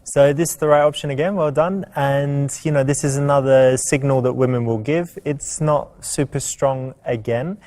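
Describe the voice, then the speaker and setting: A man's voice: medium pitch, fairly even intonation, normal speed, hardly any pauses, a little mellow. A man presenting as a dating coach, explaining things conversationally and acting out examples.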